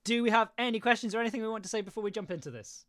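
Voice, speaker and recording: A woman's voice: a little high, very fast, dry recording, studio quality. A woman hosting a casual live panel, informal and often repeating phrases as they go. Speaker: host.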